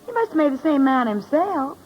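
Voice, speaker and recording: Detective's doting girl, high-pitched and animated, old radio drama, dull sound. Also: brisk. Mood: puzzled. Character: adoring, sweet girl companion of a detective. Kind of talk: 1940s radio drama acting. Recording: muffled old radio recording.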